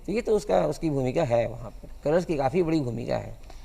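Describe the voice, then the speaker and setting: A man answering studio interview questions as a guest, explaining in a halting way. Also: medium pitch, expressive, gravelly, noisy background. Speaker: guest cartoonist.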